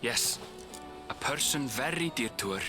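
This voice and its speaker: A man's voice: mid-range voice, lively intonation, measured pace, over music, lightly acted. An actor voicing a courteous, considerate male traveller in a video game. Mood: gentle. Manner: polite, considerate, articulate. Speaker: game character.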